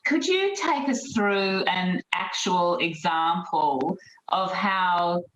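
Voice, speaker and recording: A woman moderating an online panel speaks slowly and politely in a fairly low voice. Her video call sound carries room echo and a faint hiss. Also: animated, fairly bright tone. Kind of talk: moderating a webinar.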